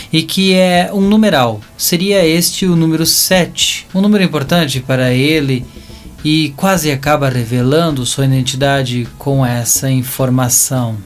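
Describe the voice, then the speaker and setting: A man with a Brazilian accent narrating a scripted story dramatically, as in an audio drama. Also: mid-range voice. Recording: music bed behind narration.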